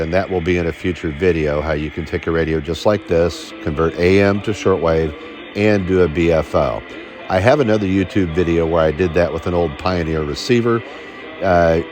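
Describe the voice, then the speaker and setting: A man's voice: sits low, darkish tone. An American man in his fifties giving plain-spoken, folksy how-to narration as a hobbyist video host. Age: 50s.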